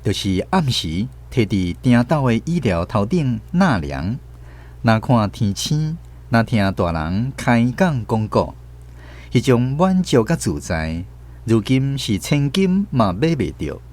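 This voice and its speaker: A man's voice: mid-range voice, big pitch swings, slow pace, a little mellow. A man with a Taiwanese accent hosting and narrating stories in a scripted radio storytelling style. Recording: music bed behind the voice.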